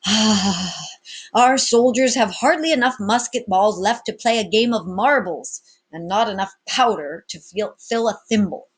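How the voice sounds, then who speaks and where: A woman's voice: medium pitch, a little slow, husky, voice pushed up. A woman voicing a weary, helpless male judge in a storybook, formal and plaintive. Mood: sighing.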